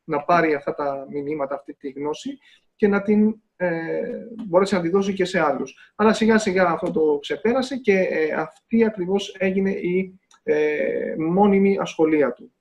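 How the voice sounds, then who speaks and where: A man serves as translator, speaking in a fairly high voice. He talks in a measured way and often pauses with hesitation fillers. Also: darkish tone, muffled recording. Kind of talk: live interpreting into Greek.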